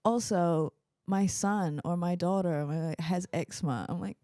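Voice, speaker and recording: A woman's voice: on the low side, a little slow, mellow, no room sound, studio quality. A young woman co-hosting a podcast, telling stories in casual, bubbly chat. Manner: chatty, bubbly storyteller with laughs. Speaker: podcast co-host.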